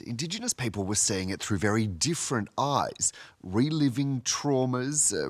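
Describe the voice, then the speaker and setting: A man's voice: mid-range voice, husky, dry recording. A man with an Australian accent reading scripted narration as the presenter of a documentary.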